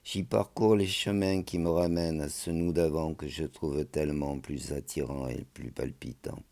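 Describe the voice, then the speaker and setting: A man reciting a poem in an expressive, measured spoken-word delivery. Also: a bass voice, gravelly.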